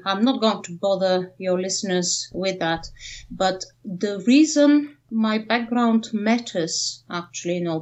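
A woman in her fifties from Bulgaria, speaking, giving thoughtful, wry interview answers over a video call with frequent ums. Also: mid-range voice. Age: 50s. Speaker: guest, professor.

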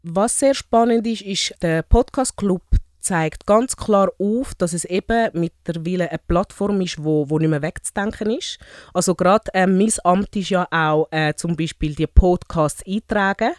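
Swiss German woman, podcast guest, chatty with fillers, smooth clear voice, dry close recording. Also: lowish voice, measured pace, darkish tone, no echo. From Switzerland, born in Zurich. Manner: chatty, frequent hesitation fillers. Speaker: podcast guest, new board member. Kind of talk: casual interview chat.

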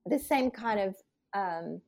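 A woman's voice: medium pitch, animated, takes it slowly, creaky, a little mellow. A woman in her sixties hosting an interview, chatting and reminiscing casually with many fillers. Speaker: podcast host.

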